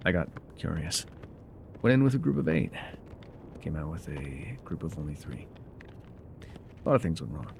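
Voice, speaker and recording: Male actor as haunted, laconic frontier guide for immersive ASMR drama; gravelly, calm and folksy with expressive swings, slight rumble behind. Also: exaggerated voice. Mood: wistful, haunted. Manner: unhurried, musing, folksy, trailing pauses. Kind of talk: immersive ASMR roleplay monologue.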